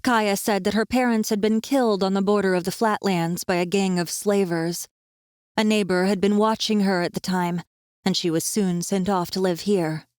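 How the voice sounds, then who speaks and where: A woman's voice: medium pitch, fairly even intonation, normal speed, darkish tone, smooth, no echo, clean studio sound. A woman narrating a fantasy audiobook in a scripted storytelling style.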